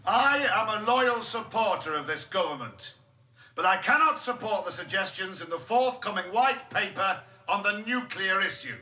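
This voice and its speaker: An actor playing an indignant, pompous backbench politician making a sarcastic, emphatic speech in a radio drama. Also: male voice, high-pitched, lively intonation, moderate pace, bright, forceful tone, exaggerated voice. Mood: scornful, accusing. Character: indignant backbench MP denouncing a minister, pompous and righteous. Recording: echoey chamber with faint noise.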